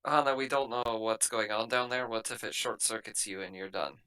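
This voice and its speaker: A man's voice: on the low side, fairly quick, sharp tone. A man playing a wary, brash female mercenary in a sci-fi tabletop game, talking bluntly and casually. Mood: skeptical.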